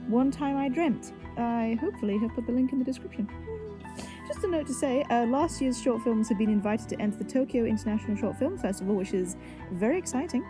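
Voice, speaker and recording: A woman's voice: high voice, low-quality recording. A woman hosting an audio drama, giving a chatty spoken introduction as its writer and producer. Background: music.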